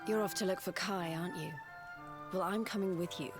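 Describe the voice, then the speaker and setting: A woman's voice: on the low side, steady tone, a little fast, over music, close to an everyday voice. A woman voicing a self-assured young adventurer in a video game, with a knowing, composed manner.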